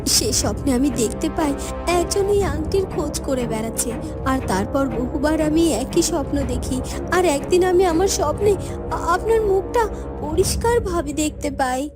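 A woman's voice: high-pitched, animated, moderate pace. A woman voicing a timid, earnest young village maiden in love, speaking hesitantly and tenderly in a cartoon fairytale. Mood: earnest, confessing. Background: music.

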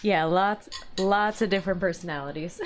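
A woman's voice: mid-range voice, normal speed, slight background noise. A woman in her thirties co-hosting a live painting stream, chatting casually and laughing often between remarks. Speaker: livestream co-host and miniature painter. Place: home hobby room, indoors.

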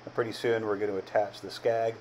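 A man in his fifties giving a casual, plain-spoken, unhurried voice-over as an amateur builder narrating his project. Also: lowish voice, flat delivery, darkish tone, a little hiss or hum. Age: 50s.

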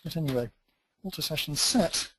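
A man's voice: a little high, lively intonation, moderate pace, noisy background. A man teaching a database tutorial, thinking aloud as he types through a live demo with dry, self-deprecating asides. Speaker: database instructor.

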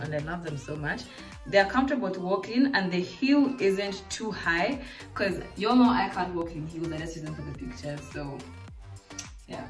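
A nineteen-year-old woman from Zambia, chatting casually and excitedly through a clothing try-on haul in her living room. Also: on the low side. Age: late teens. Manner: chatty, bubbly, lots of fillers. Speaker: vlogger. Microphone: camera mic from across the room. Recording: echoey room, distant mic, faint music.

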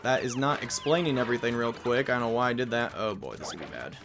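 A man's voice: medium pitch, animated. Rambling, jokey let's play guy. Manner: rambling, jokey, quick asides. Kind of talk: casual gameplay commentary. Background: game music.

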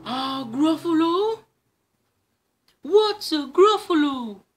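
A man with a Trinidadian accent voicing a storybook owl that hoots and invites, loud and drawn out. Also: extremely high pitch, lively intonation, drawn-out words, a little hiss or hum, voice pushed up, heavily acted. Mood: curious. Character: owl, hooting, inviting, easily startled predator.

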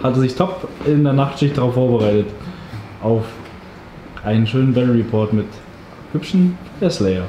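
A man's voice: medium pitch, expressive, moderate pace, pauses often, dark tone, muffled recording. A man reading a game army list as voice-over, with a light, joking delivery. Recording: echoey room, faint hiss.

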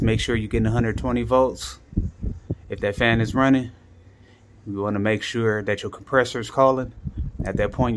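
A man with a low voice talks to his viewers like a handyman walking them through a repair. His speech is informal and full of slang. The handheld phone recording is clearly noisy, with clicks. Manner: colloquial slang, informal catchphrases. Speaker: handyman vlogger and HVAC technician. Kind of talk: casual vlog, explaining a home repair to viewers. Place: indoors at home beside air-conditioning equipment. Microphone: handheld phone behind the camera.

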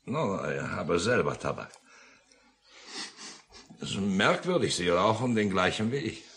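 An actor playing a polite, quietly friendly army officer in a 1950s radio play, courteous and laconic. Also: male voice, deep voice, sing-song, a little slow, gravelly, slightly rough, exaggerated voice. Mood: polite, reserved. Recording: noisy mono 1950s radio recording.

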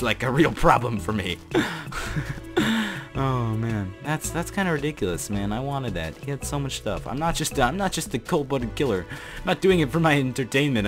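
A young man giving casual, rambling commentary over his own gameplay, joking at himself and breaking into laughing shouts. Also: medium pitch. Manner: rambling, self-mocking, bursts into laughing shouts. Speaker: gaming YouTuber.

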